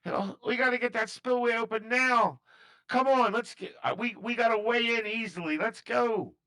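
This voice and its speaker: A man voicing an urgent female marine who pushes the others to move fast, repeating herself. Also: very high voice, sharp tone, pitched above the performer's own voice. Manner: pushy, repetitive, urgent.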